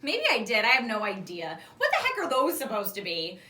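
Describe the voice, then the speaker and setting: A woman's voice: on the high side, wildly swooping pitch, brisk, sharp tone, roomy sound, far from the mic, slight background noise, full character voice. A woman voicing a shy, casual young girl in a storybook, speaking in an offhand, understated way.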